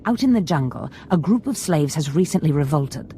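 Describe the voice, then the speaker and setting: A woman's voice: deep voice, expressive, moderate pace, dark tone, slight background noise. A woman playing a haughty, scheming Sith master in a video game, theatrical and calculating. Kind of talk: video game dramatic acting.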